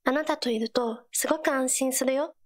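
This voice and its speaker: A woman voicing an earnest, supportive teenage girl companion in a game, gentle and encouraging in short scripted lines. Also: sits high, moderate pace, lightly acted. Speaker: game character voice actor.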